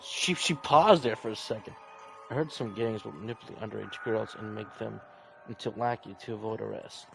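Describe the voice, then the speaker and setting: A man's voice: lowish voice, brisk, creaky, a little hiss or hum, rough recording. A man reading a visual novel aloud in an informal, chatty playthrough style, rambling a little. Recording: music playing behind the voice. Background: background music.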